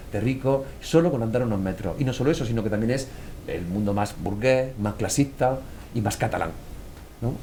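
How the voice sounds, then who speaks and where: A Murcian man speaks fast in a mellow, darker voice, telling stories and slipping into the voices of the people he quotes. A faint room hum sits behind him. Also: fairly low pitch. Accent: Murcian Spanish. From Murcia, Spain. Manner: animated storyteller, acts out quoted voices. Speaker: author guest. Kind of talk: casual conversational talk.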